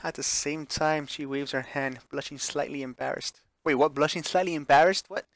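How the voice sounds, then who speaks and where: A man's voice: fairly high pitch, steady tone, moderate pace, a bit bright, no room sound. A man streaming a visual novel, reading it aloud with offhand reactions and correcting himself when he stumbles over words. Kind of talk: live playthrough read-aloud with offhand reactions.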